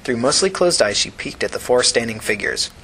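Bright-voiced man narrating fiction, noisy recording. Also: medium pitch, animated, a little fast. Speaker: audiobook narrator.

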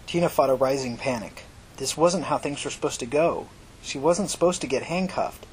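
A man's voice: normal speed, a little mellow. A man narrating dramatic fiction as an audiobook reader. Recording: noisy recording with low rumble.

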